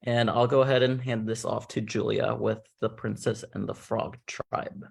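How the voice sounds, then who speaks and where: A man hosting an online cast reveal, talking in a rambling, relaxed way with plenty of fillers. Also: lowish voice, normal speed, gravelly, slightly rough. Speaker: host revealing a game cast.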